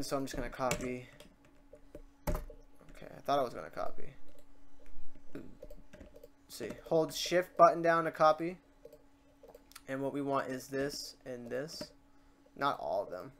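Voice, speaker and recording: Casual tutorial host, noisy recording with typing. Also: mid-range voice. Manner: informal, muttering asides and self-corrections while working. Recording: noisy room, keyboard typing and clicks. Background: keyboard typing, mouse clicks, background noise.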